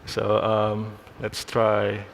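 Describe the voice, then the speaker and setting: A man's voice: on the low side, monotone, creaky, slight background noise. A man from Indonesia giving a live technical presentation on a conference stage, restarting phrases as he works through a demo. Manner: halting, restarts phrases while demoing. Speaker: conference speaker. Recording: hall reverb.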